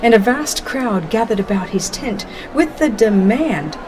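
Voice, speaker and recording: A woman reads a religious history as a dramatized audiobook, in a solemn, measured manner with deliberate phrasing. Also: a little high, expressive, noisy background. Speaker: audiobook narrator. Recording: music under the voice. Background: background music.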